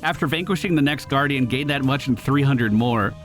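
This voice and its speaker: A man giving casual live gameplay commentary as a streamer, slangy and excitable, with some swearing. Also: mid-range voice, brisk. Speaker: gaming streamer. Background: faint game music.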